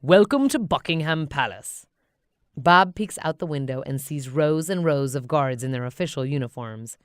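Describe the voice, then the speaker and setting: A woman narrating a children's storybook in an expressive read-aloud style. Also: very deep voice, moderate pace, clean tone, no echo, clean studio sound.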